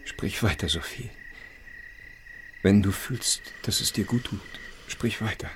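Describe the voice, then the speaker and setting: An actor plays a reserved, uncertain man in an intimate radio drama. His voice is low and subdued, his lines short and measured, with night ambience behind him. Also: a little hiss or hum, lightly acted. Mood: gentle, concerned. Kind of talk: radio play acting, intimate dialogue.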